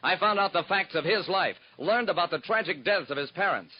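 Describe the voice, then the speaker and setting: A man's voice: sits high, animated, fairly quick, husky. An actor playing a private detective who narrates his own cases in wry, hard-boiled first person, in 1940s radio drama style, on a dull lo-fi old recording.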